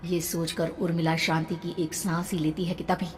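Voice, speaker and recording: Female horror narrator, lowish voice, dramatic scripted storytelling, long reverb, faint music. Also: little pitch movement, normal speed, darkish tone, a little hiss or hum.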